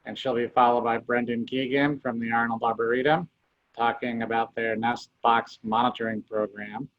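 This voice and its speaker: A man's voice: medium pitch, flat delivery, moderate pace, no echo. A man moderating an online meeting, introducing the speakers in a matter-of-fact way over a video call. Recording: dull dry video call audio.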